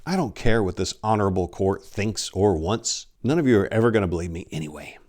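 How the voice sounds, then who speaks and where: A man's voice: deep voice, expressive, fairly quick, darkish tone. A man voicing an upset, defiant priest in a dramatic story reading, with emphatic, exasperated delivery. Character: defiant, upset male priest, jaded and sardonic.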